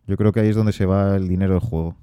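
A man with a Spanish accent from Spain talks fast in a low, mellow voice, chatting casually about board game news on a podcast. His delivery is relaxed and rambling, with fillers, and the sound is smooth and dry on a close mic. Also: dry recording. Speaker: podcast co-host.

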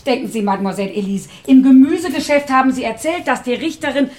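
Actress doing fretful gossipy woman carer, slightly high voice, radio drama, easily dismayed. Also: fast, darkish tone, close to an everyday voice. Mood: chatty.

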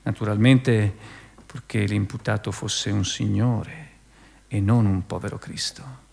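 A man narrating a scripted radio play in a measured, dramatic, theatrical manner. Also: fairly low pitch, steady tone, creaky, darkish tone, breathy edge. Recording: long hall reverb, music behind.

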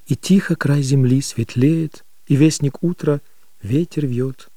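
An actor playing a dreamy Russian poet softly reciting lyrical verse in a radio play. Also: male voice, medium pitch, measured pace, no echo, distant mic. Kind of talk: poetry recitation.

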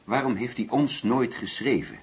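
A man's voice: medium pitch, lively intonation, moderate pace, a little hiss or hum, lightly acted. An actor playing a middle-aged father in a Dutch radio play, hospitable but bitter, probing and repeating himself when agitated. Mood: aggrieved, agitated.

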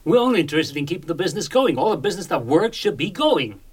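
An actor in a radio play playing a brisk, pragmatic American army officer with a husky voice and an American accent. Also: male voice, a little high, very animated. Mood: brisk, dismissive.

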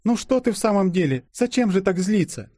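A man's voice: high-pitched, lively intonation, a little fast, warm tone, no room sound, studio quality, pitched above the performer's own voice. A man voicing a sweet, doting young woman who coos and gushes romantically in an audiobook. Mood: soothing. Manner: cooing, gushing.

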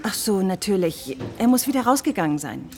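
A woman's voice: mid-range voice, very animated, brisk, a little mellow. An actress playing a puzzled, skeptical boutique saleswoman in a radio drama, polite but doubtful.

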